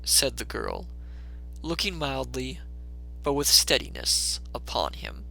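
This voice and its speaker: A man's voice: medium pitch, very animated, takes it slowly, gravelly, bright, forceful tone, low-quality recording. A man reading a classic story aloud as a storyteller, in theatrical, scripted dramatic narration.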